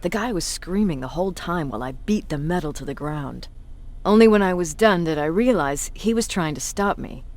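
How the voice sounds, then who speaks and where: A woman's voice: lowish voice, animated, moderate pace, darkish tone, close to an everyday voice. A woman playing a battle-hardened resistance commander in a video game, with a blunt, authoritative manner. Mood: wry, reminiscing.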